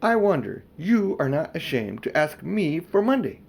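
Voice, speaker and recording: A man voicing a firm, quiet, disapproving older woman in a storybook, stern and unimpressed. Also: on the high side, big pitch swings, moderate pace, creaky, breathy edge, exaggerated voice. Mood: firm, reproachful.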